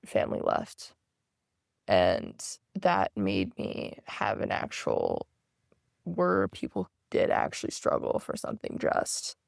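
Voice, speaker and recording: A podcast guest telling a personal story in a thoughtful, halting way, full of fillers and restarts. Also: mid-range voice, vocal fry, no room sound, studio quality.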